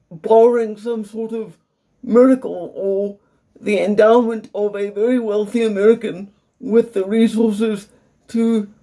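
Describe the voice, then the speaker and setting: Slow, measured South African young man. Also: high voice, mellow. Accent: South African English. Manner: measured and earnest, carefully explaining. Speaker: vlogger. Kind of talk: personal video monologue.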